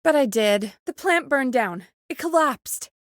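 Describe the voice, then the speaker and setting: A woman producing a radio show in a video game. Her voice sits high and is recorded close and dry. She is earnest and supportive, with a wry, easily exasperated edge to her natural, expressive delivery. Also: measured pace, no echo. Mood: regretful, dejected. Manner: conversational, expressive, dryly exasperated.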